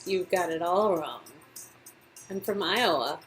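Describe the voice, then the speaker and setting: A woman's voice: on the low side, takes it slowly, soft background music, low-quality recording. A woman reading the part of a sarcastic, wry young aspiring actress in a story game. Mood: grateful, friendly. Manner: wry, exclamatory.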